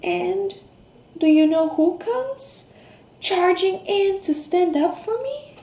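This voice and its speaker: A woman reading a picture book aloud to children, expressive, with questions, gasps, hums and hushed asides. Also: very high-pitched, takes it slowly. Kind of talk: interactive children's read-aloud with questions to young listeners. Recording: roomy echo, faint background noise.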